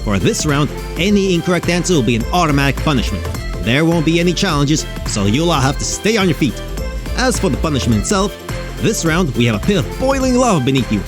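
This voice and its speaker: A man's voice: a little high, very animated, fairly quick. A man playing a slick, sardonic game show host in an audio drama, showy and mock-sympathetic. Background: music.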